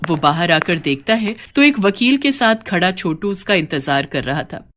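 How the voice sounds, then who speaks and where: A woman reading a scripted moral story as narrator, in an expressive storytelling manner. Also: fairly low pitch, moderate pace, a little hiss or hum.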